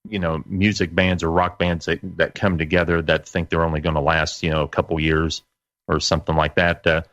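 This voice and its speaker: A middle-aged man as an interview guest, casually recalling his work in a halting, self-correcting way. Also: low-pitched, fairly bright tone, no room sound. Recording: dull lo-fi dry sound.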